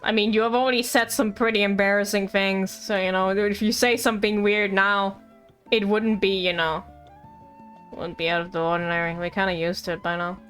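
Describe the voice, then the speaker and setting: A woman's voice: medium pitch, level tone, brisk, fairly bright tone. A woman streamer reacting casually to a game, rambling and teasing, often repeating her words. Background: game music.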